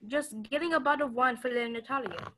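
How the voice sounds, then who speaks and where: A woman's voice: sits high, fast talker, natural voice. A woman playing a put-upon maid in a mystery radio play, polite and earnest but with some defiance. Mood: nervous. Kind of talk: stage-style mystery radio play acting.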